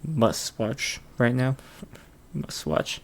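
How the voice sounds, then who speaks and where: A man's voice: medium pitch, extremely slow, a little hiss or hum. A man hosting his own podcast alone, rambling casually with lots of fillers and frequent chuckles. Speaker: podcast host. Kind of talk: casual solo podcast chat.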